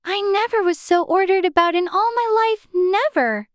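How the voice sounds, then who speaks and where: A very high, earnest young girl from a storybook , as acted out by a narrator. She is polite and curious, quick to take offence, and full of questions, recorded close and dry. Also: dry recording. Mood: indignant. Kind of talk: dramatized audiobook character voice.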